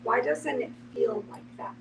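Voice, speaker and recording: A woman's voice: on the high side, unhurried, creaky. A woman leading a group discussion, speaking in a reflective, explanatory way as a host. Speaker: host and care services professional. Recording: noisy room, distant mic, hum.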